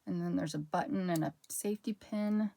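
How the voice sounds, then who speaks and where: A young woman with a low, soothing voice talks her way through an auction haul in a loose, rambling chat. She stops mid-sentence, circles back and muses aloud as she sorts each item. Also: normal speed, creaky, mellow, slight background noise. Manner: rambling, chatty, self-interrupting while sorting items. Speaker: channel host. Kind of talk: casual haul vlog chat.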